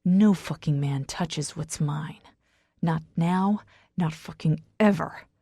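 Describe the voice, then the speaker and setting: A woman voicing a brooding, possessive, hot-tempered man with a rasp, as a character in a romance audiobook. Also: sits low, slow, dark tone, no echo, full character voice. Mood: possessive fury. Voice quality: rasping.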